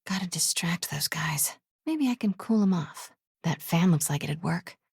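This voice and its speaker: Young american reporter gal from a video game, determined, soft breathy voice, super dry close recording. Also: female voice, fairly low pitch, expressive, measured pace, dry recording, heavily acted. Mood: hushed, focused. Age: young adult. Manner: hushed, self-talking, breathy.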